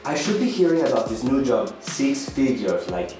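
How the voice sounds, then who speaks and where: A man's voice: medium pitch, very animated, moderate pace, lightly acted. An actor playing a needy, apologetic male houseguest in a radio thriller, hesitant and eager to please, with theatrical flourishes. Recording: very echoey room, music underneath.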